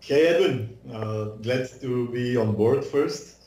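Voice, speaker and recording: A man's voice: medium pitch, slow pace, mellow. Bulgarian man on a roomy video call. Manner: hesitant, many fillers and restarts. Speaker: guest, visual effects artist. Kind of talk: casual interview over video call. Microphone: video call webcam mic.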